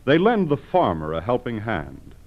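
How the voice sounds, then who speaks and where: A man announcing for a 1940s radio programme and its sponsor in a formal, deliberate, scripted style. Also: mid-range voice, huge pitch swings. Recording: muffled vintage radio broadcast.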